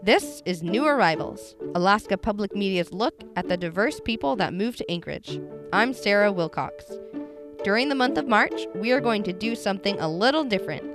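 A woman hosts a radio feature in polished, scripted narration. She sounds measured, clear and friendly, at a middle pitch. Music plays clearly underneath her. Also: lively intonation.

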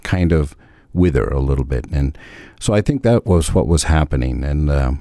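A commissioner talks on a radio program in a measured, halting way. His voice is very low and warm. The sound is close and dry. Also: lively intonation, dry recording. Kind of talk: radio interview guest.

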